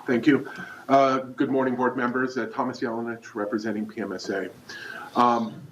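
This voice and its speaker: Man, industry rep, measured and argumentative, roomy hall, far from mic. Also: medium pitch, level tone, dull audio, a little hiss or hum. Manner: measured, policy-minded, argumentative. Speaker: industry representative giving public comment. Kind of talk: formal public comment. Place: public meeting hall.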